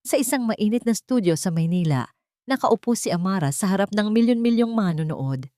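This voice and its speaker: A woman's voice: mid-range voice, animated, brisk, mellow, no room sound, clean studio sound. A woman with a Filipino accent reading scripted romantic drama narration in a dramatic storytelling style.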